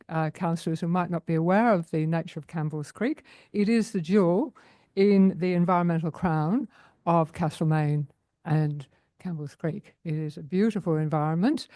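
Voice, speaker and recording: A woman addresses a council meeting as president of a local community group. Her voice is low and mellow, and her delivery is earnest and unhurried. The recording is dry and close. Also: no echo. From Australia. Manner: earnest, unhurried, with many fillers. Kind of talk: public comment at council meeting.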